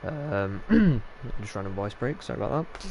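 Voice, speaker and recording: A man's voice: fairly low pitch, big pitch swings, normal speed, a little mellow, rough recording. A man with a Yorkshire accent giving rambling, casual commentary while streaming a game, swearing freely. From Yorkshire, England. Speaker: gaming streamer. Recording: clear game noise behind voice.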